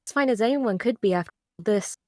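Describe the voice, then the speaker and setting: A woman's voice: a little high, fairly quick, dark tone, no room sound, studio quality, close to an everyday voice. A woman in an amateur table read playing a guarded, burdened young woman in a drama scene. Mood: guarded, curt.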